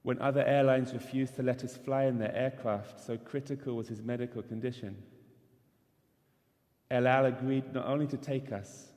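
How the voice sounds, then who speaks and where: A man giving a measured, earnest sermon as a rabbi in a synagogue. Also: medium pitch, fairly even intonation, a little mellow. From not Israel. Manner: measured, earnest preaching cadence. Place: synagogue sanctuary. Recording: long hall reverb.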